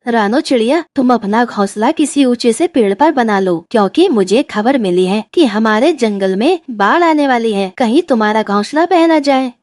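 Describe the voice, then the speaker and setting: A woman's voice: on the high side, lively intonation, normal speed, hardly any pauses, a little mellow, dry recording, clean studio sound, lightly acted. A woman playing a cautioning, apologetic male crow neighbour in a cartoon story, advising and explaining. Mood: warning. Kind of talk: animated moral story dub.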